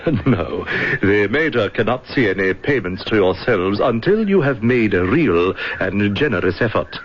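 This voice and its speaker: An actor in an old radio drama playing a polite, hesitant gentleman go-between, courteous and formal. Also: lowish voice, sing-song, moderate pace. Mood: hesitant, diplomatic. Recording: muffled, noisy old radio recording.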